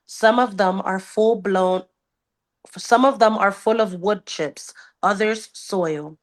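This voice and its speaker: A woman podcast host reading a novel aloud and dropping into casual, blunt banter. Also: medium pitch. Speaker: book club podcast host.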